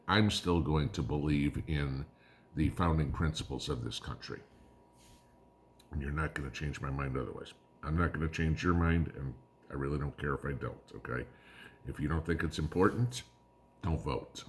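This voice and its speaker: A hoarse man in his fifties, a prepping channel host, talking bluntly in a casual opinion monologue and often tagging "okay?". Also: rumbling low. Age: 50s. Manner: blunt, combative, repeats "okay?".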